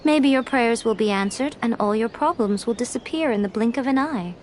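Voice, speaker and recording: A woman playing a teasing, serene fox shrine maiden in a video game, with a coy, unhurried manner. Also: a little high, slight background noise, natural voice. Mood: calm, knowing.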